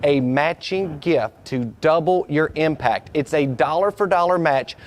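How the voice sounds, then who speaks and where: A man's voice: fairly high pitch, a little slow. A man hosting a fundraising event, chatty and salesy, sometimes stumbling over his words. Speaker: fundraising host.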